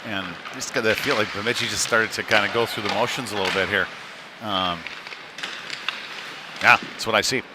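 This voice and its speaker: A man doing live hockey play-by-play in a loose, conversational way, with hesitant pauses mid-call. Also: fairly low pitch, steady tone. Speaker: play-by-play commentator. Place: ice rink. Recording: noisy live rink ambience.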